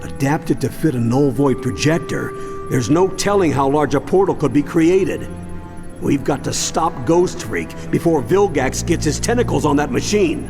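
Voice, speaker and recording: A man's voice: fairly high pitch, expressive, moderate pace, over music. An actor playing a seasoned older grandfather and monster fighter in a video game cartoon, earnest and authoritative. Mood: urgent, resolute.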